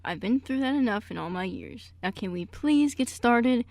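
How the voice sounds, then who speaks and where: A woman's voice: fairly high pitch, expressive, normal speed, gravelly, a little mellow, no room sound. Woman as a fussy, protective older uncle, dry sound. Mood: subdued. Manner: fussy, exasperated delivery. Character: prim, protective, easily flustered older uncle. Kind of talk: amateur radio play acting.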